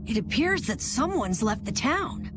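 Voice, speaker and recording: A woman's voice: fairly high pitch, very animated, unhurried. A woman voicing an earnest, inquisitive young boy adventurer in a video game. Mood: puzzled, worried. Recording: music under the voice.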